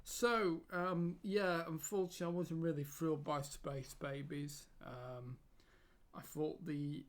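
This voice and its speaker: A man's voice: a little high, steady tone, a little slow. A man giving a casual spoken review, hesitant with many fillers and repeated words, losing his voice. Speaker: YouTube reviewer.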